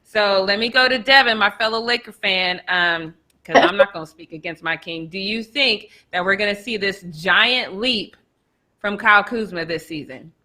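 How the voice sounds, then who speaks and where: A woman's voice: medium pitch, moderate pace, sharp tone. A woman co-hosting a live sports talk show, chatting playfully and laughing often. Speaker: co-host. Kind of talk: casual sports chat.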